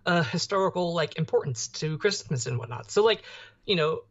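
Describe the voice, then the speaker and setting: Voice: mid-range voice, expressive, a little fast, lo-fi sound. A young adult podcast co-host chatting casually about pop culture, rambling and often searching for words.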